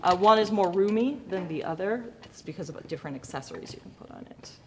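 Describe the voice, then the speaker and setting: Female instructor, slightly low voice, informal demo with joking asides, reverberant hall with background noise. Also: lively intonation, quick pace. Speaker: lighting design teacher. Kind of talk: informal hands-on demonstration to students.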